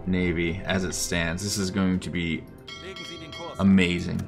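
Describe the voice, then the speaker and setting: A man giving casual, unhurried gameplay commentary, thinking aloud as he plans. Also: sits low, flat delivery, gravelly, over music. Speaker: gaming video host.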